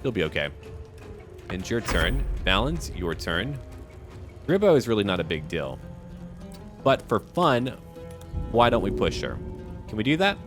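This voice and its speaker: A man with a fairly low voice narrates his video game in a casual way. His pitch moves around a lot as he thinks aloud and talks to the characters, with game music clearly playing behind him. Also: big pitch swings, unhurried, long pauses. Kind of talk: casual let's-play commentary.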